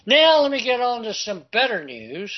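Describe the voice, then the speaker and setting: A man reading news articles aloud and commenting on them, halting and stumbling over words as he goes. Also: very high voice, big pitch swings, dull audio. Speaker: political commentary host.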